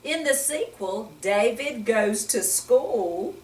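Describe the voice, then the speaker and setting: An older woman talking to young children as a storytime reader, in a gentle, teacherly manner full of questions to the listener. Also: fairly high pitch, expressive, takes it slowly. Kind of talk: storytime talk to young children with Bible lesson. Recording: roomy echo, distant microphone.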